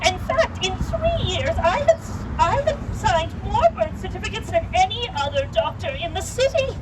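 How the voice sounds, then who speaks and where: A woman's voice: very high-pitched, big pitch swings, moderate pace. A woman playing an elderly, wry and proud lady doctor in a theatrical puppet-show monologue, chatting to the audience with rhetorical asides. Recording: distant mic, loud outdoor street noise.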